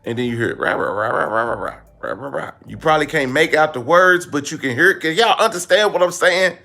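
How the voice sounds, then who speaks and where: A man's voice: a little high, animated, quick pace, gravelly, bright, forceful tone. An American man giving casual comedic commentary, slangy and blunt, repeating phrases for emphasis. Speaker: online commentary host.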